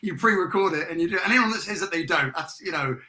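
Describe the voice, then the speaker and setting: A man's voice: high voice, expressive, quick pace, sharp tone. A man in his forties with a British accent and a faint Irish tinge, chatting as an interview guest about his life. He talks in a casual, self-deprecating way, laughs often and restarts his sentences. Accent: British, with a trace of Irish. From England. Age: 40s. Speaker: guest, retired army major and business founder.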